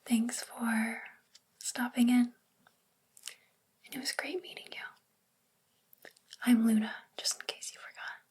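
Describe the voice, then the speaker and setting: A woman playing a sweet, bookish witch librarian in a soft spoken ASMR roleplay, gentle and soothing in a breathy, whispery voice. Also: a little high, little pitch movement, slow pace, long pauses, full character voice. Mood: kind, reassuring.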